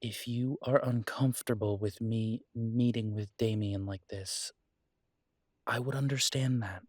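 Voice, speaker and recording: A man acting as an earnest, protective werewolf in an intimate roleplay audio, speaking tenderly to a partner with halting pauses. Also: mid-range voice, steady tone, darkish tone, no room sound, clean studio sound, close to an everyday voice.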